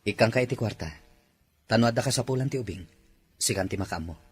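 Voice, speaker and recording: A man's voice: medium pitch, fast, close to an everyday voice. A man voicing a kindly, authoritative older company owner who speaks to his son and his housemaid in a radio drama. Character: older male company owner, father and employer.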